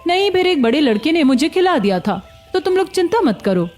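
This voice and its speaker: A kind but proud young beggar girl from a cartoon story, acted by a woman. Her voice is high and earnest, with music underneath. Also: sing-song, a little fast, darkish tone. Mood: weary, reassuring.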